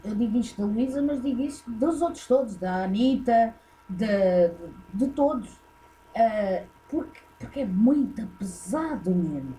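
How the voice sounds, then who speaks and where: Woman, european portuguese accent, reaction host, blunt, rambling, warm mellow tone. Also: a little high, rough recording. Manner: blunt, opinionated, rambling with many fillers. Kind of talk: casual reaction commentary.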